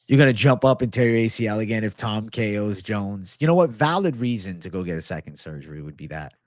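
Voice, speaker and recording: A young man talking casually on a livestream, his voice low and settled as he answers viewer messages. He is relaxed and slangy, calling everyone bro. The sound is close and dry, from a desk mic in a small home studio. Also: quick pace, no room sound. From American. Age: young adult. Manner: laid-back, slangy, says bro a lot. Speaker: MMA livestream host. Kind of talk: casual livestream chat reading superchats. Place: home studio room with shelves. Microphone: desk microphone in front.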